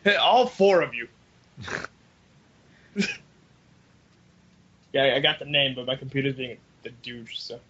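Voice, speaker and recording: A man co-hosting a casual group podcast, chatting in a jokey, animated way and swearing freely. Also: medium pitch, slow, pauses often, breathy edge. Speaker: podcast co-host. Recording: dull lo-fi voice chat.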